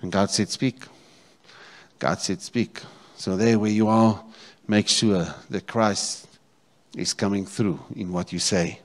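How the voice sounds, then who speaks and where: Low-voiced South African pastor preaching. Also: male voice, steady tone, slow. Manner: repeats phrases, checks in with congregation. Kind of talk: sermon. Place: church stage, indoors. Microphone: handheld microphone.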